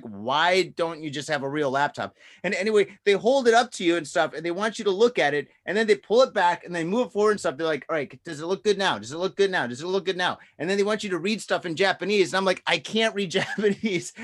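Forties guy on a podcast, kinda high voice, swears a ton, says dude every other line. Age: 40s. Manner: profane, rambling, animated storyteller with frequent "dude". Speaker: podcast co-host. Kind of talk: casual storytelling chat.

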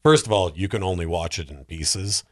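A man talking casually and emphatically as a podcast panelist, giving animated opinions on movies and games. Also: sits low, normal speed, no echo, clean studio sound. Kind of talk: casual film and game discussion.

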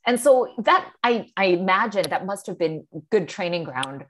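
A woman's voice: medium pitch, big pitch swings, moderate pace, noisy background. A woman from Singapore, a novelist guest on a podcast, speaking thoughtfully with frequent ums and restarts. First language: English. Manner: thoughtful, many ums and restarts, laughs easily. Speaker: guest author. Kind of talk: podcast interview.

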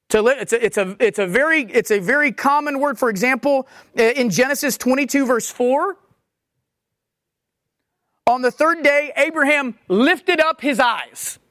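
Casting: teaching preacher, medium pitch, dry close-miked sound, repeats and rephrases key words, restarts often. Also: a bit bright, no room sound. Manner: explains word meanings with repeated restatements and frequent restarts. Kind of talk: expository sermon on scripture.

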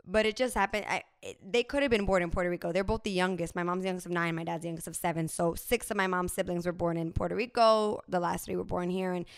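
A woman's voice: lowish voice, fast, hardly any pauses, smooth, dry recording, clean studio sound. A woman in her thirties from New Jersey, chatting casually and telling personal stories with lots of fillers. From New Jersey, USA. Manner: animated storytelling with frequent fillers. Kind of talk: casual conversational chat.

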